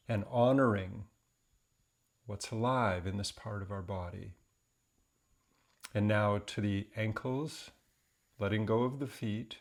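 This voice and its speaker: A man with a fairly low, noticeably creaky voice guides a meditation. He speaks gently and deliberately, never rushing. Long pauses separate his phrases as he leads the listener along.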